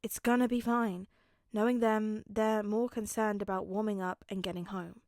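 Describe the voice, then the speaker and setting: A woman voicing a calm, reassuring teenage boy in a fan fiction audiobook, low-key and matter-of-fact. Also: normal speed, no room sound, clean studio sound, close to an everyday voice.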